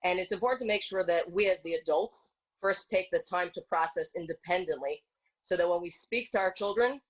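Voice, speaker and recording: A woman with a slightly low voice offers reassuring advice to a community. She is measured and articulate, speaking over a video call. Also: low-quality recording. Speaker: social worker, rabbi's wife. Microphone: video call microphone.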